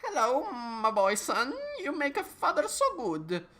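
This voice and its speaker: A weird, eager old cartoon scientist, pitched far above a natural speaking voice. He talks in broken, off-kilter bursts, like a figure from an absurd storybook. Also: huge pitch swings, unhurried, bright, forceful tone, full character voice. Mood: eerily cheerful.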